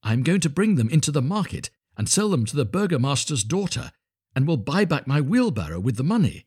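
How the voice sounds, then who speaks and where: A man's voice: fairly high pitch, big pitch swings, a little fast, darkish tone, breathy edge, pitched above the performer's own voice. A man voicing a cheerful, humble and naive storybook gardener, eager and grateful in manner. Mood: modest, happy. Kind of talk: storybook character voice.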